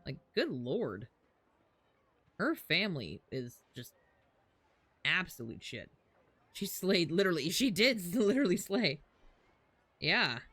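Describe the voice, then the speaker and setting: A woman's voice: on the low side, sing-song, slow, long pauses, fairly bright tone, slightly rough, no echo, faint music behind. A woman livestreamer reacting casually to a game, sarcastic and slangy, swearing freely. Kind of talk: casual live reaction commentary.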